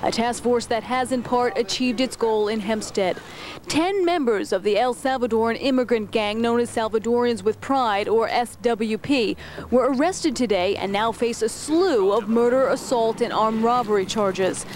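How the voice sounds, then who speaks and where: A woman's voice: a little high, normal speed, a little mellow. A woman reporter reading a scripted television news report. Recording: faint noise on old broadcast tape.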